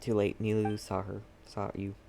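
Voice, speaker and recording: Very low-voiced female game streamer. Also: level tone, moderate pace, vocal fry, mellow, a little hiss or hum. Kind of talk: casual playthrough commentary, reading dialogue aloud.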